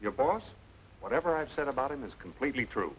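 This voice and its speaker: A man plays a self-assured American city columnist in a 1940s comedy, full of wisecracks. His delivery is very animated. The sound is muffled, with clear noise behind it. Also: medium pitch, fast, natural voice. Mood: wry, teasing. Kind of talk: 1940s comedy film acting. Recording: muffled, noisy vintage film soundtrack.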